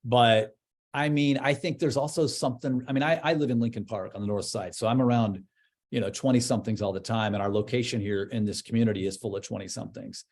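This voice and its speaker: Guy in his 50s being interviewed, normal pitch, thinks out loud, kinda trails off. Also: fairly even intonation, fast talker. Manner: thoughtful, searching for words, trails off. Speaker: church leader guest. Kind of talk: casual interview conversation.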